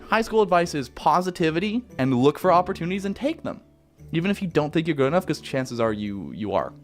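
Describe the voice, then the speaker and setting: A young man from Canada with a Canadian accent, answering viewer questions in a rambling, laid-back way that keeps correcting itself. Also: a little high. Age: young adult. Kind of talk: casual Q&A chat.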